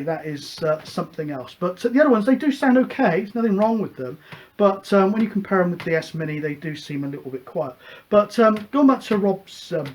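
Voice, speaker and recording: A man from the UK hosting a hobby show, explaining things casually in a chatty, rambling, hesitant way. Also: high-pitched. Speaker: host of a CB radio show.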